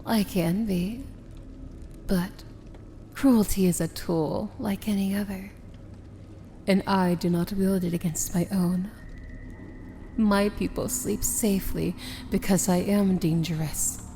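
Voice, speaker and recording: A commanding evil princess , played by a woman, speaks in a dark, velvety soft voice that often sinks toward a whisper. She is unhurried and formal, proud yet tender, in a fantasy ASMR drama with faint ambient noise behind her. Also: mid-range voice, steady tone. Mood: proud, menacing calm. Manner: measured, regal, deliberate, formal. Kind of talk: ASMR fantasy romance roleplay, dramatic monologue.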